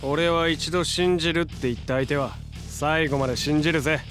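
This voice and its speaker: A male actor plays a cocky young action hero in an audio drama, his voice high for a man. He talks in casual, punchy, confident phrases, with soft music behind him. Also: steady tone, moderate pace, natural voice. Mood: confident, reassuring. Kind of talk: Japanese audio drama acting.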